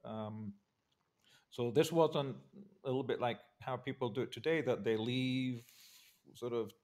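An older man from Argentina, a guest on a video call, telling his life story in a relaxed, measured way with many pauses and fillers. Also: mid-range voice. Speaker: interview guest, political scientist. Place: home study with bookshelves. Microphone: video call webcam.

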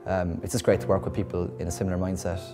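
A man's voice: sits low, level tone, rapid-fire, music in the background. A man, musician and educator, talking casually in an interview.

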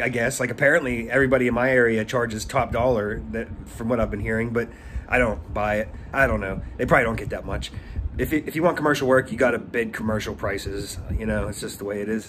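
A man's voice: mid-range voice, fairly bright tone, a little hiss or hum. A man in his thirties giving rambling tips in a casual vlog, stumbling and repeating words, recorded on a phone held at arm's length in a small room. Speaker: pressure washing business owner. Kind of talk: casual talking-head vlog.

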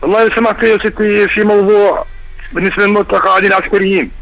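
A man's voice: high voice, level tone, rapid-fire, fairly bright tone. An older man with a Jordanian Arabic accent, a retired soldier, calling in to a live show and complaining in an aggrieved tone. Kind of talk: phone-in call. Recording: phone line with faint music.

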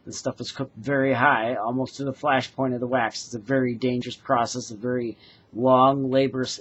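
Casting: man, 40s, husky mid-pitched voice, earnest craftsman explaining his wares haltingly to a webcam, muffled lo-fi sound. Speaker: craftsman presenting his handmade products. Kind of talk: informal product demonstration to camera. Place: small bedroom, indoors. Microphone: webcam or laptop mic at desk distance.

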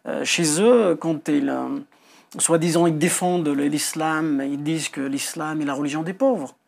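A man's voice: on the high side, measured pace, gravelly, darkish tone. A middle-aged man from Algeria, a Kabyle speaker, explaining his views as an author in a TV interview, hesitantly repeating words and stressing key points. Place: indoor television studio.

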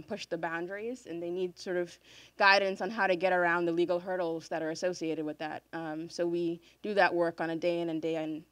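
A woman with a fairly low voice speaks on a panel, halting a little as she explains her work. The sound is close and dry. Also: no room sound. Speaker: panelist, lawyer. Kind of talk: panel introduction to students. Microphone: handheld microphone.

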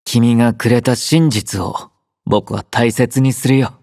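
An actor playing a gentle, quietly caring young male android soldier in a Japanese game, soft-spoken, drowsy and composed, with a mildly teasing manner. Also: mid-range voice, fairly even intonation, takes it slowly, no room sound, clean studio sound. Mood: gentle, reflective. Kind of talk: Japanese game character voice acting.

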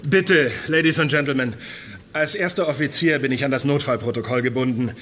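A man's voice: medium pitch, a little fast, a bit bright, close to an everyday voice. Officious male first officer played by an actor, polite but firm, medium register, audio drama with slight ambient noise. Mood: formal, firm. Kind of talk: modern German radio crime drama acting.

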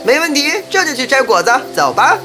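Woman playing a friendly little monkey, children's cartoon, cheerful, eager, very animated, music underneath. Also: high voice, a little fast. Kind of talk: children's animated fairy-tale acting.

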